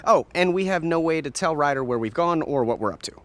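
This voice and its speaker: A man's voice: on the high side, animated, fast, no echo, close to an everyday voice. A man voicing a young, skeptical sidekick in a video game, wry and plain-spoken.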